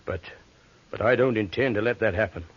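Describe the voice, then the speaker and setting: A man playing an earnest, deliberate townsman in an old radio western drama. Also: lowish voice, slightly rough. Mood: conspiratorial. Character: earnest townsman scheming to help the hero. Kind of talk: 1940s radio western acting. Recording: muffled old radio recording with hiss.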